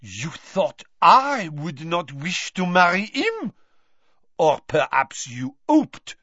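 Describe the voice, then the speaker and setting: A man's voice: medium pitch, expressive, normal speed, breathy edge, dry recording, lo-fi sound. A man voicing a proud, fierce young bride who speaks in a haughty, formal way. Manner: haughty, forceful, formal phrasing.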